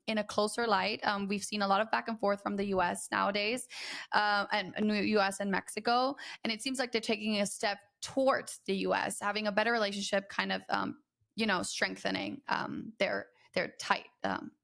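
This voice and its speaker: A woman hosting a trade podcast, chatty and rambling with many fillers, her voice slightly raspy from a recent illness. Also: mid-range voice, fairly even intonation, normal speed, a bit bright. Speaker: podcast host. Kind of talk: casual podcast intro.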